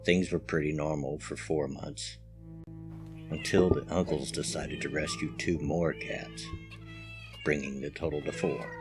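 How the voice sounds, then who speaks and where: Very deep-voiced man narrating over music. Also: fairly even intonation, a little slow. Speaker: story narrator. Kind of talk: first-person scary story narration.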